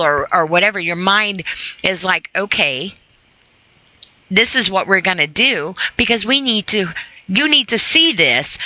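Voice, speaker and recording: A woman's voice: medium pitch, pushed and bright, no echo, poor audio. A woman reading aloud and adding informal motivational commentary in an earnest, conversational way, often speaking straight to the listener. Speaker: podcast host reading and commenting on a sobriety e-book.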